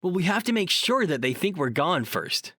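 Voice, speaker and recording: A young, self-assured paranormal investigator played by a man with an animated, swooping delivery and a dry close sound. He is sarcastic and a bit bossy, as heard in audio drama. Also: high voice, normal speed, dry recording, exaggerated voice. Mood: urgent.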